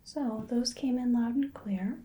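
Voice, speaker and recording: A woman in her twenties speaking in a gentle, breathy whisper, carefully giving instructions in a soft-spoken ASMR medical roleplay. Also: fairly high pitch, measured pace, poor audio. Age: 20s. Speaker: ASMR performer playing a hearing examiner. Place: small indoor room. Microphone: close microphone, just off camera.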